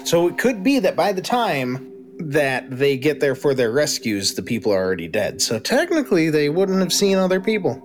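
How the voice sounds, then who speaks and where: A man's voice: fairly high pitch, sing-song, normal speed, faint music behind. A man running a tabletop game as game master, laid-back, calling for dice rolls and narrating.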